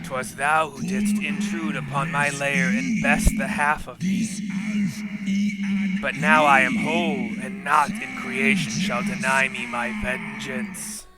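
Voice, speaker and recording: Man voicing a booming, scornful ancient dragon. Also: takes it slowly, over loud music, poor audio. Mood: vengeful. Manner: booming, grandiose, archaic declamation. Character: ancient vengeful male dragon, proud, scornful, wrathful. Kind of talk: dramatic reading of game dialogue in archaic speech.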